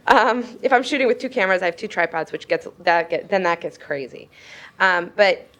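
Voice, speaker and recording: A multimedia journalist speaking to a conference audience in a casual, self-deprecating way, laughing often and using many fillers. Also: female voice, medium pitch, normal speed, a bit bright. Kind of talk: conference talk.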